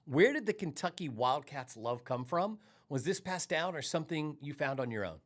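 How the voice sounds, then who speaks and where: A man's voice: a little high, animated, moderate pace, fairly bright tone. A middle-aged American man from Tennessee hosting a sports podcast, speaking with emphatic showmanship in upbeat intros and interview chat. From Tennessee, United States.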